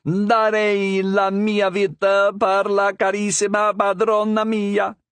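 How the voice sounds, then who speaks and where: A man voicing a clever talking parrot that chirps formal compliments in a high, thin, distant-sounding voice. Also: unhurried, no echo. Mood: flattering. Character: clever talking parrot, flattering and sing-song.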